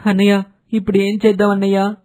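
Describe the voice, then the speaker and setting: A man acts an earnest young village fellow for an animated moral tale. His voice sits high and stays on a narrow, even pitch as he explains respectfully and insistently. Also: fast, close to an everyday voice. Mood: concerned. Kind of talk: Telugu animated moral story dubbing.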